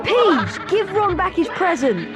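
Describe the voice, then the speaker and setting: A chirpy British schoolboy in a video game. The eager voice is high and swings widely in pitch, with music playing behind it. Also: female voice, sing-song, slow, full character voice.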